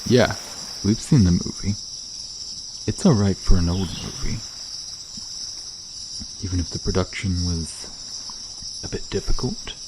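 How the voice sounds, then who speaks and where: Casting: male actor as shy, friendly mer-shark for ASMR roleplay, very deep, hesitant delivery, chirping insects behind. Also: unhurried, pauses often, background noise. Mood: wry, casual. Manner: hesitant, casual, trailing off. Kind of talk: ASMR roleplay, soft conversational acting to the listener. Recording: nature ambience with chirping insects. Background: crickets and outdoor night ambience.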